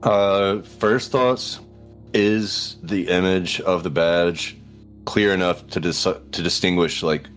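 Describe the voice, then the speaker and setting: A man's voice: low voice, fairly even intonation, slow, fairly bright tone. A man chatting casually as a player in a tabletop roleplaying game. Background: faint background music.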